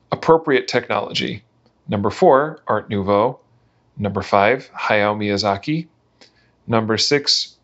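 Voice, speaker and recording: Male reader, lower register, deliberate delivery of prepared text, dull podcast audio. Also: fairly bright tone. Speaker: reader of a manifesto. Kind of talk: scripted reading of a numbered manifesto.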